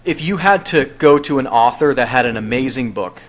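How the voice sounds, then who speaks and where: Relaxed, conversational podcast host, a man. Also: mid-range voice, fairly quick. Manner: relaxed, conversational, with light chuckles. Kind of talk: casual podcast chat.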